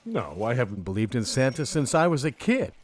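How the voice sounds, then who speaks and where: A man's voice: mid-range voice, very animated, fairly quick, slight background noise, close to an everyday voice. A man playing a baffled, incredulous everyman in a comic audio drama. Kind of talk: audio drama comedy acting.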